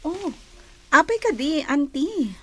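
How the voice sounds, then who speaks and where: A woman's voice: high-pitched, very animated, slow, soft background music, heavily acted, rough recording. An actress with an Ilocano accent playing a sweet, teasing young lady in a radio comedy drama. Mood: bright, admiring.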